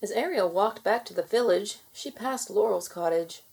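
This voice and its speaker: A woman reading a children's storybook aloud in expressive narration for kids. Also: medium pitch, normal speed, creaky, husky, low-quality recording.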